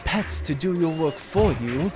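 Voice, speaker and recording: Man as a formal loyal aide, polite, measured, consoling, lower-pitched, radio play. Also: expressive, music in the background. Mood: calm, consoling. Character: polite, consoling male subordinate to a nobleman.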